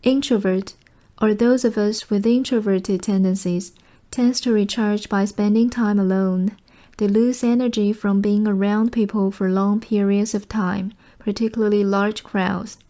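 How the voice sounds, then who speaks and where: A woman reading short study texts aloud in a measured, formal manner. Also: medium pitch, fairly even intonation, warm tone. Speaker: reader of practice passages.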